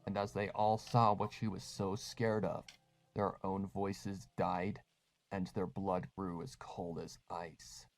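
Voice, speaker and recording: Male horror narrator, lowish voice, deliberate ominous storytelling, prominent music bed. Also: little pitch movement, vocal fry. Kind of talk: dramatic scripted horror narration.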